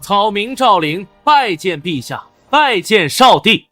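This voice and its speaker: A man's voice: high-pitched, sing-song, takes it slowly, pushed and bright, soft background music, full character voice. A man voicing a defiant, ambitious young former beggar in a Chinese period drama, speaking boldly and with self-assurance. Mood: formal. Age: young adult.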